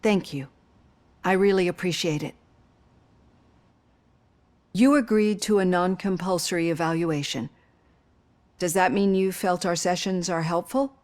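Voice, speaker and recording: An actress playing a psychologist in her fifties who asks gentle, probing questions. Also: fairly low pitch, unhurried, long pauses, natural voice. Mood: earnest. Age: 50s. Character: female psychologist in her fifties, caring and composed.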